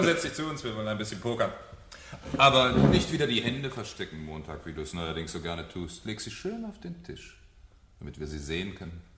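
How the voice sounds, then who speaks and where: An actor playing a mocking fire captain with a falsely hearty, theatrical, menacing manner in a 1970s German radio drama. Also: male voice, lowish voice, fairly bright tone, a little hiss or hum. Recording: echoey room, vintage radio play.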